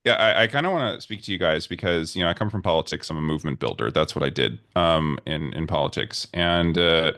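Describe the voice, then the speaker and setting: Very low male voice, earnest rallying tone, dry close sound, podcast guest. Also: expressive, fairly quick, hardly any pauses, a bit bright, no room sound. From United States. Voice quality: very deep voice. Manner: earnest, rallying speech-like delivery. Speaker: podcast guest, nonprofit consultant. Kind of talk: conversational interview.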